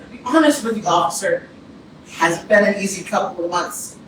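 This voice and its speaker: A woman's voice: medium pitch, expressive, brisk, natural voice. A woman playing a troubled, guarded man answering police questions hesitantly in a staged play reading. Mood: uneasy. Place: arts centre theatre. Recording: echoey theatre, distant mic, room noise.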